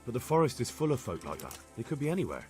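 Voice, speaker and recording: An actor playing an earnest, determined young investigator in a medieval video game, direct and questioning in manner. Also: male voice, medium pitch, wildly swooping pitch, quick pace, warm tone, soft background music. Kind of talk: conversational video game cutscene acting.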